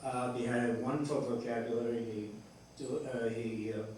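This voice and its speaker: A distant, strongly reverberant recording of a man with a faint noise behind him. He talks as a writer explaining his work, rambling and halting, often restarting as he searches for words. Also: mid-range voice, steady tone, a little mellow. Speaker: playwright discussing his play. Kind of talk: rambling post-show discussion. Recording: echoey room, distant mic, faint noise.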